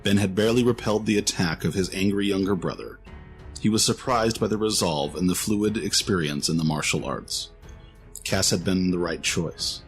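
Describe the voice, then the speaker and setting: A man's voice: low voice, moderate pace, soft background music. A man reading scripted narration for a fantasy story in a storytelling style.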